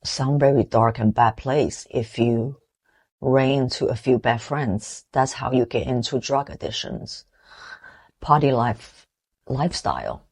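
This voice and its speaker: A woman in her forties from Taiwan with a Taiwanese accent, giving an earnest, reflective motivational talk with halting restarts. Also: rumbling low, animated, darkish tone, breathy edge. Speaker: motivational vlogger.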